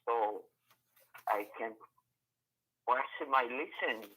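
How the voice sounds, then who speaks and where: A man whose first language is Portuguese, a student on a conference call, speaking English haltingly and searching for words. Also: medium pitch, pushed and bright, breathy edge, low-quality recording. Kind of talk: speaking up in class.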